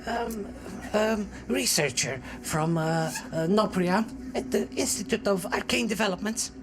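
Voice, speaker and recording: Man playing a nervous squeaky scholar, stammering, raised pitch, studio game. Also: animated. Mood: nervous, grateful. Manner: halting, full of ums and uhs. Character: timid male researcher with a squeaky voice.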